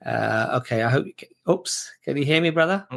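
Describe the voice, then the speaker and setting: Brief: male call-in host, mid-pitched, chatty and affirming, repeats instructions. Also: expressive, a little fast, vocal fry. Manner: conversational, affirming, repeats himself. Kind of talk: live stream call-in show.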